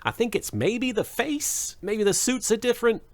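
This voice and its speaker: A man's voice: extremely high pitch, animated, moderate pace. A man narrating a comedic recap with playful, sarcastic, profane commentary. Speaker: host of a show recap and commentary.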